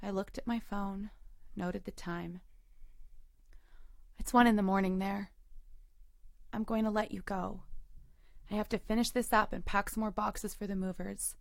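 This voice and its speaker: Audio drama girlfriend, played by a woman: hurt but resolute, controlled delivery, frequent vocal fry, close dry sound. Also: medium pitch, steady tone, a little slow, pauses often, no room sound. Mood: subdued, wistful narration, then resigned, sad. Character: hurt, resolute girlfriend leaving her long-term boyfriend. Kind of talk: audio drama acting with first-person narration.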